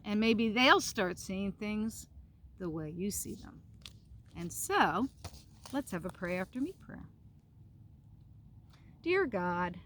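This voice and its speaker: A woman pastor reading a storybook and giving an informal devotional talk for children, with gentle pauses and simple phrasing. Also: medium pitch, slight background noise.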